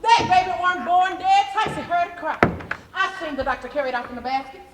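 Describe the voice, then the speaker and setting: A woman's voice: very high-pitched, brisk. A woman acting a haunted, fervent enslaved seer in a dramatic stage monologue, speaking in incantatory storytelling. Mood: fervent, ominous. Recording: echoey noisy hall, stage performance.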